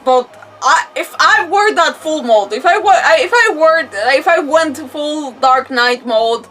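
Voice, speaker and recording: A woman streaming with casual game commentary, expressive and rambling as she thinks aloud. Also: high voice, measured pace, far from the mic, faint music behind. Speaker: live streamer.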